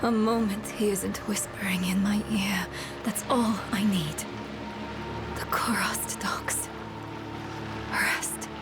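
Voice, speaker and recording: An exhausted, haunted wanderer from a video game. She half-whispers slowly and breathily beneath loud music. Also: mid-range voice, fairly even intonation. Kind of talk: video game dialogue acting.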